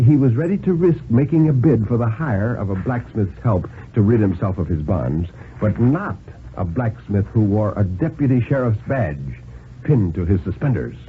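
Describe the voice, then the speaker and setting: Narrow-band old radio recording, man, animated pitch swings, deliberate dramatic storytelling, 1950s thriller reading. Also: a little hiss or hum. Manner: deliberate, dramatic, expressive phrasing.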